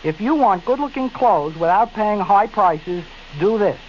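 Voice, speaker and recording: A man's voice: sits high, expressive, a little slow. A man reading a radio commercial, emphatic and repeating the address. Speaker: radio announcer. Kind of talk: commercial read. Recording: dull lo-fi 1970s radio with hiss.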